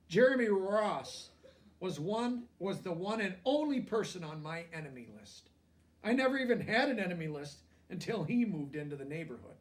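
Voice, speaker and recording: A man's voice: high voice, distant mic. A man reads a children's picture book aloud expressively, explaining things simply for young listeners. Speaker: storybook reader.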